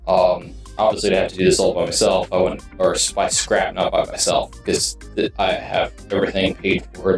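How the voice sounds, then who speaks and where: A man giving casual, rambling streamer talk, halting and often trailing off mid-sentence. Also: on the low side. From United States. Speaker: video game streamer. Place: indoors in his streaming room setup. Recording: roomy echo, distant mic, music behind.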